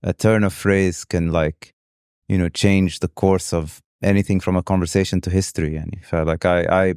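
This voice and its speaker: Low-voiced guy, arabic native speaker, podcast guest, thoughtful casual talk, dry close sound. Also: steady tone, moderate pace, a little mellow, clean tone, no echo. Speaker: podcast guest, venture capitalist. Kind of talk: casual conversational interview.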